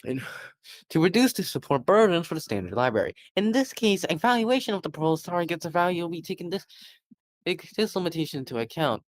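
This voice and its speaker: A man's voice: fairly high pitch, sing-song, fairly quick, no echo. A man reading a technical policy document aloud, often stumbling over words and correcting himself. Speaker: reader.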